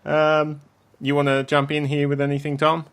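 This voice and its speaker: A man with a croaky voice, hosting a casual sports podcast in a relaxed way with frequent ums. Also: fairly high pitch, normal speed. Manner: relaxed, leads the segments, many ums. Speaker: podcast host.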